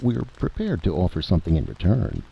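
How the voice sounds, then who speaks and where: A man's voice: deep voice, wildly swooping pitch, fairly quick, creaky, pitched above the performer's own voice, full character voice. A man voicing an earnest, stiff envoy of a tiny nation in a radio drama, formal and insistent. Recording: dull, noisy vintage radio recording.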